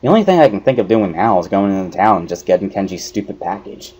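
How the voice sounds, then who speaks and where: A man's voice: low voice, lively intonation, fast, a little mellow, breathy edge. A man giving a dramatic first-person narration of a visual novel story. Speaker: narrator of a let's play dramatic reading.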